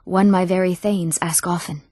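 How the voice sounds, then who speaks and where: A woman acting an eager, earnest young woman in a fantasy video game, speaking her lines clearly and a little theatrically. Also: on the low side, steady tone, normal speed, mellow, dry recording, clean studio sound, close to an everyday voice. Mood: formal, resolute. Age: young adult. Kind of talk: scripted fantasy game dialogue.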